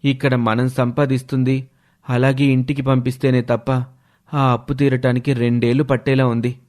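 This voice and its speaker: An earnest young husband weighed down by worry played by a male storyteller. He speaks at a middle pitch, in the dialogue style of a cartoon moral story. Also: little pitch movement, moderate pace, darkish tone, close to an everyday voice. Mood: worried, earnest. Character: debt-burdened young husband, earnest and worried.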